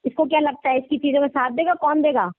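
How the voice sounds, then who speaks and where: A woman's voice: high-pitched, steady tone, fast talker, dry recording. A woman complaining in an agitated phone conversation, aggrieved and insistent, repeating herself over a narrow-band line. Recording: tinny, narrow-band phone call recording.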